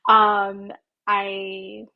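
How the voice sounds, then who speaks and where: A woman's voice: medium pitch, completely flat delivery, drawn-out words, creaky, highs cut off. A woman in her twenties from Southern California, a podcast guest talking casually with many ums and a shy laugh. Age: 20s. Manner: hesitant, lots of ums and likes, soft laughs. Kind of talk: casual interview chat.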